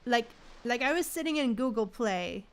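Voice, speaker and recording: A woman livestreamer chatting casually in a slangy, animated way while gaming. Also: on the high side, moderate pace. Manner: chatty, animated retellings with frequent slangy exclamations.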